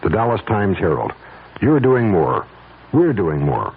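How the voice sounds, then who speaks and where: A man's voice: fairly low pitch, huge pitch swings, measured pace, gravelly, husky. An American man reading a radio commercial as its announcer. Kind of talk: radio ad read. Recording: narrowband old radio with faint noise.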